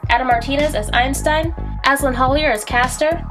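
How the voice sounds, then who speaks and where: A woman's voice: sits high, fairly even intonation, normal speed. A woman announcer reading scripted narration for an audio drama. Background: loud music.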